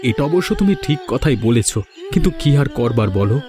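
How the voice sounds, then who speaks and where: Cartoon villager played by a man: self-assured, chatty, emphatic, middle-register voice over soft music. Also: medium pitch, expressive, brisk, lightly acted. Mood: lamenting. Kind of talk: Bengali cartoon folk-tale voice acting.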